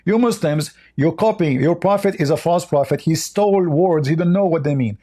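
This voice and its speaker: Higher-pitched, mellow man arguing insistently. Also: moderate pace. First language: not English. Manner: argumentative, repetitive, interrupting. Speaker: religious debater. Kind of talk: heated religious debate.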